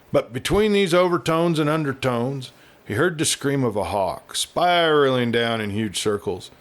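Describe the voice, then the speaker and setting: Male storyteller for western audiobook narration, mid-pitched, deliberate and expressive delivery.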